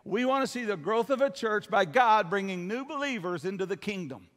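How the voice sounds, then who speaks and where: Older guy preaching in church, high voice, folksy and emphatic, big echoey hall. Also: lively intonation, fairly quick, a bit bright. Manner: folksy, emphatic, seeks amens from listeners. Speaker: pastor. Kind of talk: sermon to a congregation. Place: church hall, speaking from the stage. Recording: long hall reverb.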